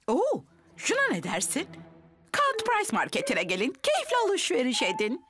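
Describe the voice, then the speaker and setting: A woman's voice: high voice, wildly swooping pitch, moderate pace, exaggerated voice. A woman voicing a flustered, clumsy, apologetic village woman in a cartoon dub. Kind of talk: animated children's cartoon dub. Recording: loud music behind the voice.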